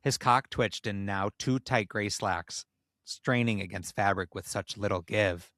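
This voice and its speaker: A man with a fairly low voice reads a steamy comic story aloud as a podcast host. His narration is expressive and the recording is dry and close, with little room sound. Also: unhurried, no room sound. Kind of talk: comedic reading of erotic fan fiction.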